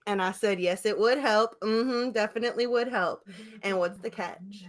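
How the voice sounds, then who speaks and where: A woman's voice: mid-range voice, normal speed. Slangy, laughing woman co-hosting a podcast. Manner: animated storytelling, acts out her own text replies. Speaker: podcast co-host. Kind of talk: casual comedic podcast chat.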